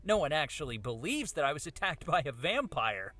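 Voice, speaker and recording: A man voicing a frightened, disbelieving young university student in a visual novel, speaking in an anxious, halting way. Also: fairly high pitch, big pitch swings, bright, forceful tone, no room sound, pitched above the performer's own voice, full character voice. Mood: incredulous. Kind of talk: visual novel character reading.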